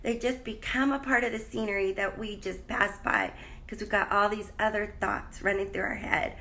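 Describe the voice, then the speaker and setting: A woman's voice: mid-range voice, steady tone, normal speed, pushed and bright, poor audio. A woman life coach, sounding congested, chatting casually and rambling in a live stream from a hotel room. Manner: chatty, rambling, greets viewers by name. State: congested, slight cough.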